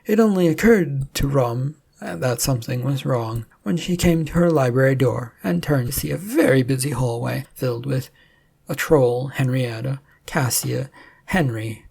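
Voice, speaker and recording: Husky, creaky fantasy story narrator. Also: mid-range voice, normal speed, a little mellow. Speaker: storyteller, author reading fiction.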